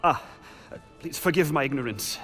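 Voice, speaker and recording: Courteous, flustered gentleman, higher-pitched. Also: expressive, fairly quick, faint music behind. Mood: flustered politeness. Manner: formal, apologetic, hesitant. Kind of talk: video game cutscene acting.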